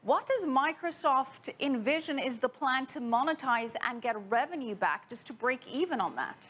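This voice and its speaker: A woman's voice: sits high, lively intonation, normal speed, fairly bright tone, husky. A woman anchoring a broadcast news interview, putting questions to a guest.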